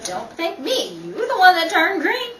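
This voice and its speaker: Woman acting animated, melodramatic 1930s script reader. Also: extremely high pitch, a little slow, a crowd in the background, heavily acted. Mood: teasing. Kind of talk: 1930s radio comedy acting. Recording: roomy, distant, noisy with ambience.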